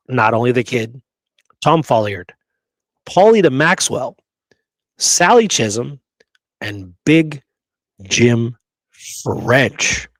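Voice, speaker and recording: A man co-hosts a history talk show in a conversational, debunking style. His pitch is average and the sound is dry. He stresses key phrases by stretching them out and often puts rhetorical questions to his listener. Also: no echo. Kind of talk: conversational debunking discussion of an old photograph.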